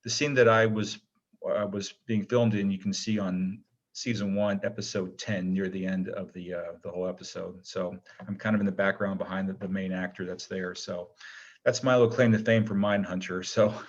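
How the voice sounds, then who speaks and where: A man with a fairly low voice chats casually as an interview guest. He rambles modestly and hesitantly, and the recording is somewhat dull and lo-fi. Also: steady tone, normal speed. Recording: dull, lo-fi connection.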